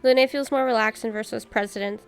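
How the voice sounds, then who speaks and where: Casting: female streamer reading game scenes aloud, fairly high voice with noticeable vocal fry, faint music behind. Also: fairly even intonation, a little fast. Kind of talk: reading and narrating game cutscenes aloud, with commentary.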